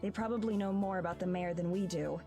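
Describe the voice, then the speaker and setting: A woman voicing a gentle, self-assured young woman in a visual novel. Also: fairly low pitch, fairly even intonation, fast talker, music in the background, lightly acted. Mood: calm assurance.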